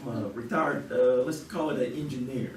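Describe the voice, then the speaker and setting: A man's voice: mid-range voice, normal speed, a little mellow. A man chatting casually with the host as a quiz show contestant. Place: live stage before an audience. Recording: roomy, distant, faint noise.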